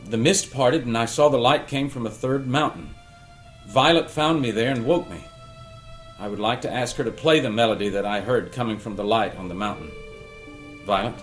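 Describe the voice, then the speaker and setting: A man playing a sincere, dreamy painter in an audio drama read-through, speaking in a measured, earnest way. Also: medium pitch, faint music behind, close to an everyday voice. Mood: wondering, hushed. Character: sincere, dreamy male painter recounting a mysterious vision.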